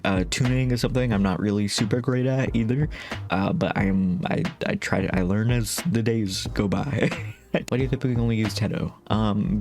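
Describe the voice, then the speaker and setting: Rambling low-voiced man, self-deprecating chat. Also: a little mellow. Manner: rambling, self-deprecating, many fillers and restarts, laughs often. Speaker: vocal synth song cover creator. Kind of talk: casual FAQ chat to camera.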